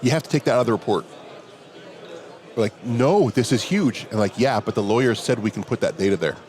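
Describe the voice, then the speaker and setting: A man with a big voice, a security professional telling a relaxed story during a hallway chat at a conference. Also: medium pitch, fairly quick. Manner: relaxed anecdotal telling, quoting others in dialogue. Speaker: interview guest, security professional. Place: outdoors at a conference venue.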